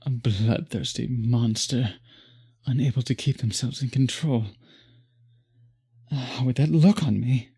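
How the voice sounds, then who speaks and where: A man voicing a courtly, possessive vampire in an intimate roleplay audio, with formal, florid, beseeching speech and a breathy voice. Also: medium pitch, measured pace, long pauses, mellow. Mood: possessive, uneasy. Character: courtly, obsessive, possessive male vampire pleading with the listener.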